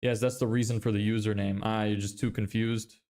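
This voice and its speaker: A man's voice: lowish voice, flat delivery, a little fast, darkish tone. Young man, from Lithuania, American-sounding accent, casual streamer chat, self-deprecating, swears. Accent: American-sounding English. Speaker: livestreamer building a business. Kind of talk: casual livestream chat reading viewer comments.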